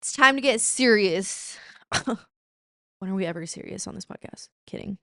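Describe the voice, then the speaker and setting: A woman's voice: medium pitch, animated, moderate pace, bright, forceful tone, no echo, clean studio sound. A woman in her twenties hosting her podcast, chatting casually and playfully with slang asides. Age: 20s. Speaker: podcast host. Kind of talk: casual chat.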